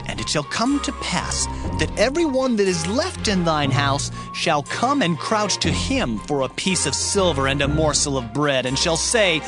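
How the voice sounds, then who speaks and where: A man's voice: on the high side, big pitch swings, normal speed, hardly any pauses, over music. An actor playing a stern man of God, solemnly declaiming divine judgment in a dramatized audio Bible. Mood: grave, foreboding.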